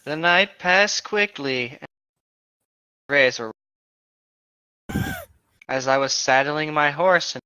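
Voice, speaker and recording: Bright-voiced man on a dull mic. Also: fairly high pitch, smooth, dry recording. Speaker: co-host of a comic group reading. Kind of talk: story narration read aloud. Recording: slightly dull, lo-fi microphone.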